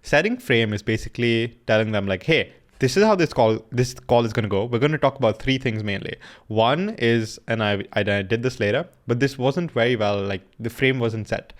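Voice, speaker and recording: A man's voice: medium pitch, fairly quick. A young man in casual commentary, coaching viewers through a recorded sales call in a loose, slangy way.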